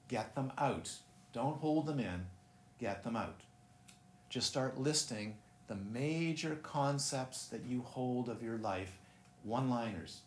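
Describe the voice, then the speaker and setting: A man teaching meditation in a casual, rambling way, often breaking off and putting questions to his listeners. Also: medium pitch. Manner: rambling, self-interrupting, asks listeners questions. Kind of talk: dharma talk. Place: busy room. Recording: echoey room, faint ambient noise.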